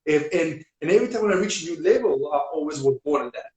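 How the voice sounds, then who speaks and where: A man with a Serbian accent, speaking as an energetic guest in a casual live-stream interview, with non-native grammar and repetition. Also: on the high side, quick pace. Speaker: business guest. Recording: echoey room.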